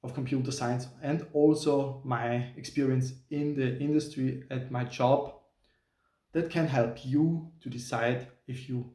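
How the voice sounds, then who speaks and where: A man's voice: mid-range voice, gravelly, dark tone. A man in his thirties from Austria, a native German speaker with an Austrian German accent, talking to camera in a careful, hesitant non-native English with small restarts. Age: 30s. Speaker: channel host, software engineer. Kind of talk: casual talking-head vlog. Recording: roomy sound from a distant mic.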